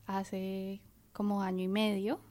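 A woman's voice: mid-range voice, little pitch movement, slow, a little mellow. A woman in her thirties, a guest on a radio show, speaking cheerfully with some hesitant fillers. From Colombia. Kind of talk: radio interview.

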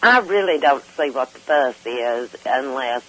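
Older female radio caller, hoarse but bright, unhurried, swinging widely in pitch, chatty and excitable. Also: slight background noise, low-quality recording. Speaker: regular caller to a radio talk show. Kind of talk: casual, jokey chat.

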